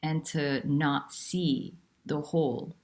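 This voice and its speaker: A woman teaching about nonduality, talking in a soft, unhurried and candid way with pauses. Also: low voice, steady tone, creaky. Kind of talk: reflective spiritual talk.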